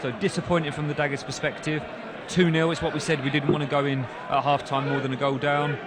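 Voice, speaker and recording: A man's voice: on the high side, level tone, quick pace. A man working as co-commentator, giving analysis of replays and the state of a football match. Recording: loud crowd noise behind commentary. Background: stadium crowd.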